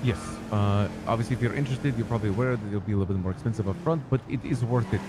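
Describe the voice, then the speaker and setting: A young man talking casually while playing a roleplay game, doing a quick salesman pitch, over noisy stream audio. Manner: fast, salesman-like, swears casually. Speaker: game roleplayer selling computers. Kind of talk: casual gaming chat with friends.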